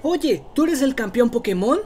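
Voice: medium pitch, animated, moderate pace, a little mellow, soft background music, close to an everyday voice. A performer voicing a cocky, stubborn young boy trainer in a Latin American Spanish cartoon parody fandub. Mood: stubborn, eager. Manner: stubborn, blithely menacing.

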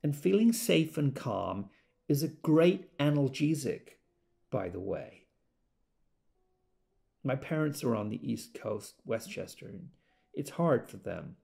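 Audio drama: gentle self-help devotee, hesitant and earnest, animated pitch, creaky voice. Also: male voice, takes it slowly, long pauses, exaggerated voice. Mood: hesitant, calm.

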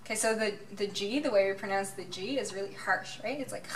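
A woman's voice: mid-range voice, a little fast. Young Dutch-accented woman, encouraging. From Netherlands. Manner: giggly, encouraging. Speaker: guest teacher. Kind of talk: casual chat. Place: bright indoor lobby, seated on a couch. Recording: roomy distant mic, faint hum.

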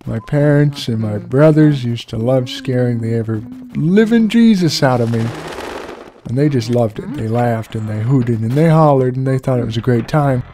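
A man's voice: mid-range voice, moderate pace, slight background noise. A young man with a relaxing voice commenting casually on a game, swearing freely and poking fun at himself. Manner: relaxed, profane, self-deprecating rambling. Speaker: let's play host.

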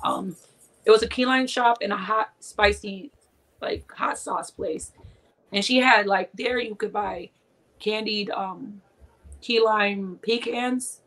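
A woman's voice: mid-range voice, fairly even intonation, slow, fairly bright tone, rough recording. Woman in her forties, from Puerto Rico, chatty storyteller, laughs often, webcam call from home. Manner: chatty, laughs often, tells stories with quoted asides. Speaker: guest cooking and Disney channel creator. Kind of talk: casual chat on a live video call. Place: small home room indoors. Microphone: video call from a webcam.

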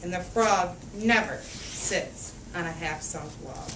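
Lady doing storytime for kids, sing-song and emphatic, bit far from the mic in an echoey room. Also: on the low side. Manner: sing-song, emphatic, drawn-out rhyming phrases with questions to listeners. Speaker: storyteller reading aloud to children. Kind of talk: animated picture-book read-aloud with call-and-response. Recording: roomy, distant mic, faint background noise.

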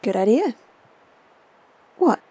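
A woman's voice: fairly high pitch, sing-song, slow pace, warm tone. An actress playing a capable young woman in an audio drama, dry and teasing toward her companion.

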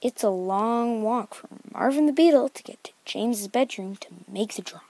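Brief: female narrator for a homemade book-report video, higher voice, dry sound. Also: moderate pace, dark tone, no room sound. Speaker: narrator of a novel study video.

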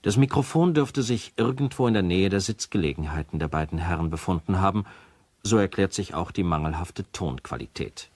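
An actor playing a formal official who presents recorded evidence in a radio drama, speaking in a matter-of-fact way. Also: male voice, deep voice, expressive, fairly quick, no echo. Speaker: narrator. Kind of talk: scripted radio drama narration.

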